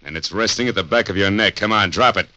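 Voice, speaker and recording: A cocky gangster boss makes clipped, menacing threats in a lowish voice. His tone is forceful and hard-edged, in the style of 1940s radio drama. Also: fast talker, no echo. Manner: threatening, clipped tough-guy talk.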